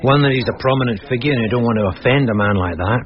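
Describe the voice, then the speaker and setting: An actor playing a shrewd, measured, quietly teasing police sergeant in a radio detective drama. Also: male voice, mid-range voice, dull audio, a crowd in the background, close to an everyday voice. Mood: measured, explanatory. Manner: deferential yet sly, explanatory.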